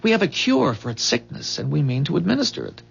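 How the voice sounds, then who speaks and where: An actor playing a calm, earnest man from a dying future, explaining gravely in measured 1970s radio drama style. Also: on the high side, full character voice. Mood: solemn, earnest. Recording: dull, muffled 1970s radio drama recording.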